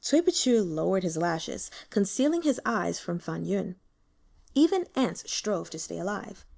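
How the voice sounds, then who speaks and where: Mellow woman narrating an audiobook. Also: mid-range voice, measured pace, gravelly. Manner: steady storytelling. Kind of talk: scripted narration.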